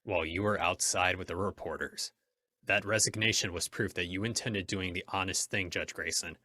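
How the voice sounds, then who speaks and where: A man's voice: sits low, fairly even intonation, moderate pace, pushed and bright. A man acting a stern, righteous masked vigilante in an old-time radio drama, speaking in a commanding, declarative way. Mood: resolute.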